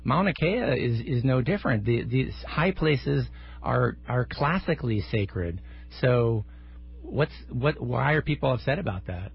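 A man's voice: mid-range voice, lively intonation, measured pace, gravelly, slightly rough, muffled recording. A man hosting a radio interview, asking thoughtful questions in a conversational way with frequent filler words. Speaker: radio host.

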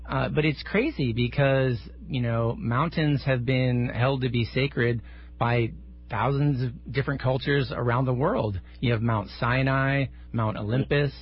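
A man's voice: mid-range voice, slightly rough, muffled recording. A man hosting a radio interview, asking thoughtful questions in a conversational way with frequent filler words. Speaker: radio host.

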